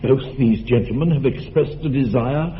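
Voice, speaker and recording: A mild, fussy country clergyman played by a man in an old radio play, pitched mid-range with a genial, matter-of-fact manner. The recording is thin and phone-narrow, with a faint hiss. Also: normal speed, lightly acted.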